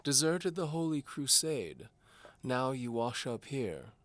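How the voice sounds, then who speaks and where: A man's voice: medium pitch, very animated, slow, a bit bright, dry recording, voice pushed up. A man voicing a doddering, pompous old duke and amateur alchemist in an audio drama, fussy and formal. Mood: haughty, probing. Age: older. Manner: pompous, formal, hesitant blustering. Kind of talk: audio drama character acting.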